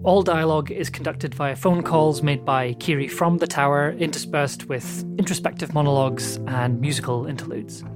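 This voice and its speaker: A man presenting the show and reading a measured, reflective introduction. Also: a little high, over music. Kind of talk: scripted narration.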